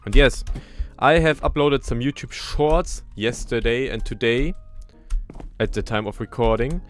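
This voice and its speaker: A young man with a clear German accent chats loosely while playing a game, wandering between explanations and small asides. His voice sits at an ordinary middle pitch, and soft game music runs quietly behind him. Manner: chatty, self-deprecating, thinking aloud. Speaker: gaming YouTuber. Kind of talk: casual gameplay commentary.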